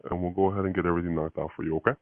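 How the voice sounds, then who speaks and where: A man's voice: sits low, little pitch movement, racing, no room sound. A man working as a sales agent on a phone call, informal and accommodating. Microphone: phone line. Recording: thin, band-limited phone call recording.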